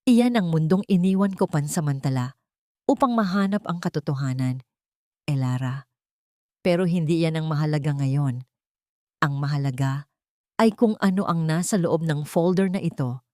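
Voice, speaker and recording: A woman's voice: low-pitched, moderate pace, pauses often, dark tone, dry recording, clean studio sound, natural voice. A woman with a Filipino accent voicing a commanding, secretive man with an authoritative, dangerous manner in an audio story. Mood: serious, firm. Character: commanding, secretive man, a powerful chairman in hiding.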